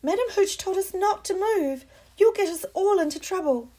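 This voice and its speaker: A woman doing a shrill bossy schoolgirl. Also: lively intonation, moderate pace, dark tone. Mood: alarmed. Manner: shrill, scolding.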